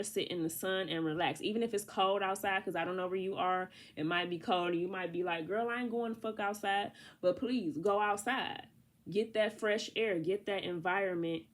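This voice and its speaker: A woman's voice: on the low side, slight background noise. A woman giving a casual tarot reading. She sounds congested from allergies and talks to the viewer in a chatty, slangy way. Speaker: tarot reader.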